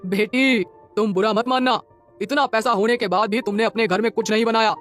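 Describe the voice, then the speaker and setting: A woman's voice: on the high side, fairly even intonation, soft background music, natural voice. Woman playing a kindly, solemn wandering holy man. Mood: gently reproving. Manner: benevolent, measured, blessing. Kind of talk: Hindi cartoon moral-story dialogue.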